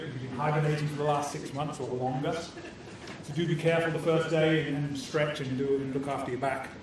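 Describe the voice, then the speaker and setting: A man hosting a club meeting, cheerful and bantering as he opens the evening with jokes and a safety talk. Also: a little high. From new Canadian citizen. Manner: cheerful, bantering, self-deprecating. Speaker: meeting host opening a club meeting. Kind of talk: jokey public-speaking address to a club audience. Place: meeting room with a live audience. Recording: echoey room, far-off mic, noisy.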